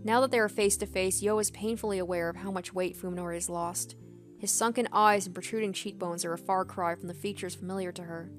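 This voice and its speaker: A woman narrating a visual novel aloud as a let's player, expressive, with casual commentary. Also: mid-range voice, quick pace. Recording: background music under the voice. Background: game music.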